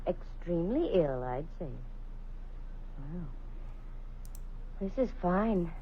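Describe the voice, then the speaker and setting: An actress playing a confident, sharp-tongued female private detective in a vintage radio drama, with quick wisecracking banter. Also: on the low side, very animated, husky, muffled recording, full character voice. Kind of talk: 1940s radio acting.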